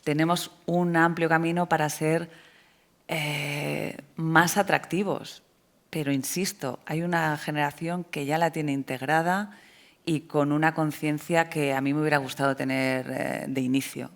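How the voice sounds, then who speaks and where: Very low, creaky-voiced woman. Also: steady tone, unhurried. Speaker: panel speaker. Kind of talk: round-table discussion.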